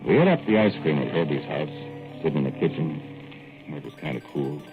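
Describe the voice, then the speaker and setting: A folksy small-town plumber on old radio. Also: male voice, rumbling low, expressive, fast talker. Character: young male small-town plumber, easygoing and wry. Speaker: narrator and plumber. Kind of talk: 1940s radio drama acting. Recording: tinny old radio broadcast with faint music.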